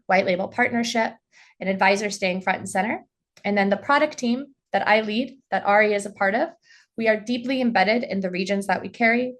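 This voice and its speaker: Friendly woman opening a webinar. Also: mid-range voice. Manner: friendly, casual, with frequent "um"s. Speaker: webinar host and head of product. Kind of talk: business webinar introduction.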